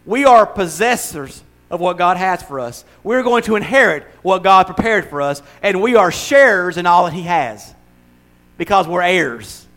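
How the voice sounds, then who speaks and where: High-voiced preaching pastor, older man. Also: expressive, moderate pace, fairly bright tone. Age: 60s. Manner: conversational, humorous preaching with builds to emphatic repetition. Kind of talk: sermon.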